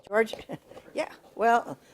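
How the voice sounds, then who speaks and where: An older woman with a big voice, recalling local government history in an oral history interview, pausing and restarting as she searches for words. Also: fairly high pitch. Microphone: table mic in front of a coffee pot.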